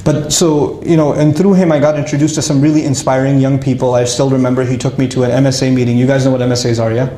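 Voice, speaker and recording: A man casually telling his life story to an audience, lively and self-mocking, with frequent restarts. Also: medium pitch, quick pace, few pauses, roomy sound.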